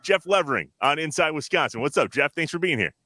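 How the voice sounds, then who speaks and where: Male sports podcast host, bright and punchy, very dry close sound. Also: a little high, animated, fast talker, dry recording. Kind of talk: casual sports podcast hosting.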